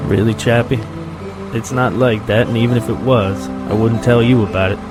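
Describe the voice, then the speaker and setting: An actor playing a cocky, joking mercenary fighter in an audio drama. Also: male voice, medium pitch, fairly even intonation, moderate pace, music in the background, close to an everyday voice, low-quality recording. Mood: teasing, smug.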